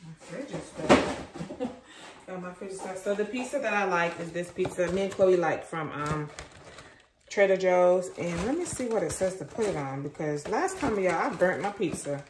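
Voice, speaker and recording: Low-pitched woman vlogging in an echoey room. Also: animated, moderate pace. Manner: informal, chatty, repeats phrases. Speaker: lifestyle vlogger. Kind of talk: casual vlog chat to viewers. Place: rooms at home, indoors. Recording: roomy echo, background noise.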